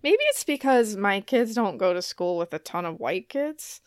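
A woman's voice: mid-range voice, expressive, normal speed, smooth, dry recording, clean studio sound. A woman, a podcast co-host, chatting casually and playfully and often laughing as she talks. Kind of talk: casual banter between co-hosts.

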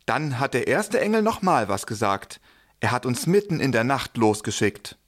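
A man playing an excited, plain-spoken shepherd in a Nativity audio play, telling his tale colloquially. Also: mid-range voice, normal speed, close to an everyday voice. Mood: excited, recounting.

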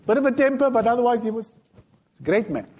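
A man preaches in a mosque, his fairly high voice swinging widely up and down. He tells stories in plain everyday speech and slips into lively dialogue. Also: fast. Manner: storytelling, retelling scenes in everyday speech with lively quoted dialogue. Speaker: sheikh giving a Friday talk. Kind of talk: sermon.

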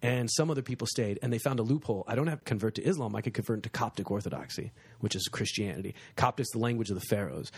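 A man talking in a brash, animated way, joking through casual chat on a podcast. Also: lowish voice, fast talker, few pauses, breathy edge. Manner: brash and animated, jokes a lot.

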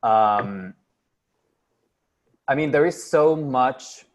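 A man's voice: medium pitch, extremely slow. A man from Costa Rica speaking hesitantly, with many fillers, in a casual discussion. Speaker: panelist.

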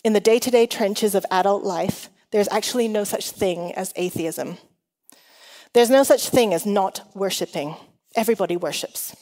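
A middle-aged woman speaks as a preacher, her voice ringing with the long reverb of a big hall. Her accent is an international mix that shifts. She talks conversationally, chatty and self-deprecating, at a medium pitch. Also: normal speed, darkish tone. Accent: mixed international, shifting. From Hong Kong. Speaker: guest preacher. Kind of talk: conversational sermon to a congregation.

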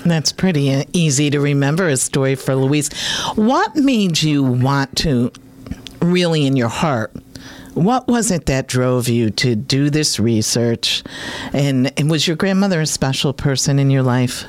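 A woman's voice: a bass voice, expressive, a little slow, a little mellow. A woman hosting an interview, chatty and encouraging, who promotes an upcoming event and laughs often. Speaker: host. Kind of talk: community talk show interview.

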